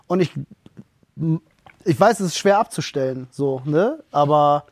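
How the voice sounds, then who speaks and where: A man with a high voice chats loosely on a podcast and stumbles through restarts and fillers as he goes. His voice is recorded on a close studio mic in a dry room. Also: animated, a little slow, no room sound. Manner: talkative, hesitant with fillers and restarts. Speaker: podcast co-host. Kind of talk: casual chat.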